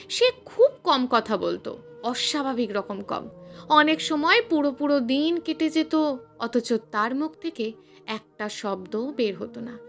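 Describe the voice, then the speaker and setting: A woman's voice: high-pitched, fairly bright tone. A woman narrating a scripted suspense story in a dramatic, emphatic storytelling manner.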